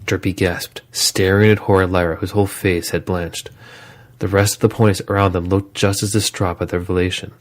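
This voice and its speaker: A man's voice: low voice, flat delivery, brisk. A man narrating a scripted horror story as a dramatic storyteller.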